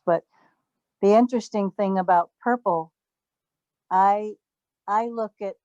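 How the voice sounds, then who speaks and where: A woman's voice: fairly low pitch, dry recording. A woman in her seventies speaking thoughtfully in a community group discussion, with frequent hesitations. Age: 70s. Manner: slow, even, thoughtful, with hesitations. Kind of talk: casual group sharing. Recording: dull dry lo-fi audio.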